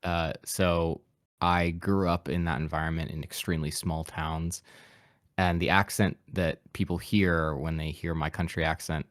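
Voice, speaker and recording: A man's voice: deep voice, steady tone, measured pace, dry recording, clean studio sound. A man with a mid-Atlantic American accent, a podcast guest and voice actor from Appalachia, talking casually and reflectively in an interview, with easy self-deprecating laughs. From Appalachian region of the United States.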